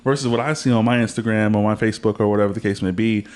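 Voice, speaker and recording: A man's voice: fairly low pitch, fairly even intonation, racing, darkish tone. A man of about thirty hosting a casual podcast conversation, rambling and joking in loose, slangy speech. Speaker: podcast host.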